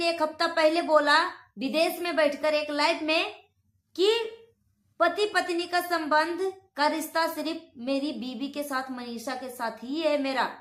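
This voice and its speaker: A woman's voice: high-pitched, fairly bright tone. A woman giving opinionated gossip commentary in an accusatory manner, repeating phrases for emphasis. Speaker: online reaction commentator. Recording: roomy, echoing sound.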